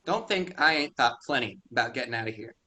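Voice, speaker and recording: A man's voice: medium pitch, animated, quick pace, sharp tone. An actor reading a stubborn rural young man, curt and defensive in a staged play reading.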